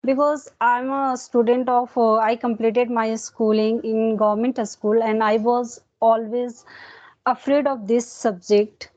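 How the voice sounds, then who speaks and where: A woman with an Indian English accent talks in a halting way, stopping often on fillers, with Hindi as her first language. Her voice sits a little high. The recording is dull and lo-fi. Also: level tone, darkish tone. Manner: hesitant, many fillers and pauses. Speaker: guest learner. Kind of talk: conversational English practice interview.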